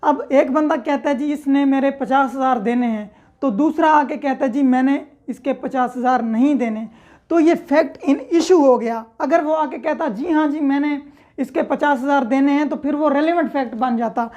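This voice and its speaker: A woman's voice: high-pitched, normal speed, warm tone, highs cut off. A woman with a Pakistani accent giving an explanatory law lecture as a teacher, moving between reading aloud and simple explanation. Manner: reads clauses aloud, then explains with simple examples.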